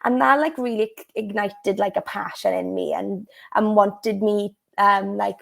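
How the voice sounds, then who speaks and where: A woman's voice: mid-range voice, steady tone, unhurried, gravelly, a bit bright. A woman from South Wales with a Welsh accent, telling her story as a guest in a casual interview, full of "like" and "um" fillers. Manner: chatty, many "like" and "um" fillers. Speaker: life coach guest.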